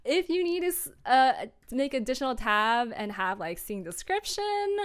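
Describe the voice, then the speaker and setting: Young woman, clear voice, chatty. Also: high voice, sing-song, normal speed. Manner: chatty, giggly, informal. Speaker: streamer and audio drama producer. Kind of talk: casual chat, explaining her work process.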